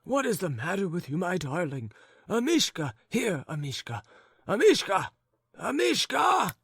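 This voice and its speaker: A man's voice: high-pitched, very animated, extremely slow, creaky, a bit bright, no echo, clean studio sound, pitched above the performer's own voice, heavily acted. A man reading an audiobook, voicing a fussy, bewildered old husband who calls out anxiously. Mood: alarmed. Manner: fussing, calling out anxiously. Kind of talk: audiobook character voice.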